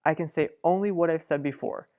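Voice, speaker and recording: A man's voice: fairly high pitch, brisk, darkish tone, close to an everyday voice. A reserved doctor in a radio soap, acted by a man. He sounds formal and businesslike, holding back with a restrained, firm delivery. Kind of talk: old-time radio drama acting.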